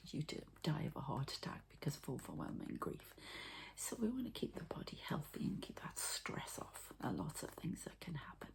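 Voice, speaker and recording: A woman giving an ASMR energy healing session, softly and haltingly talking through each step as she goes. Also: low voice, vocal fry. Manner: soft, halting, murmured commentary on each step. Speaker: ASMR energy healer and channeler.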